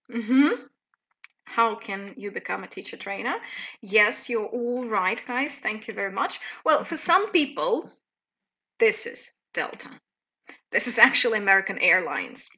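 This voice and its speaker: A woman in her thirties with a Russian accent, presenting a live teaching webinar in a chatty, lively way with many fillers. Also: fairly high pitch.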